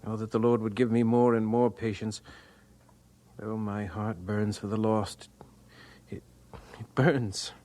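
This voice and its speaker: An actor playing an earnest, devout young missionary in an audio drama, speaking with halting fervor. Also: male voice, lowish voice, fairly even intonation, darkish tone, close to an everyday voice. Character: earnest, devout young male missionary, self-deprecating and tender-hearted. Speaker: actor in the lead role.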